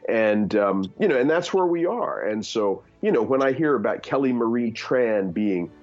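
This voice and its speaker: A man's voice: mid-range voice, animated, moderate pace, a little mellow, lo-fi sound. A man in his fifties giving candid opinions in conversation, earnest, with many fillers and restarts. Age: 50s. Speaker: guest.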